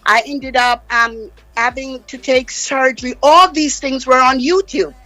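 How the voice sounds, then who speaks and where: A woman's voice: high voice, steady tone, a little slow, sharp tone, soft background music, poor audio. A Jamaican woman guest on a live radio interview, speaking with passionate, insistent emphasis. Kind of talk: heated interview talk. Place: radio studio.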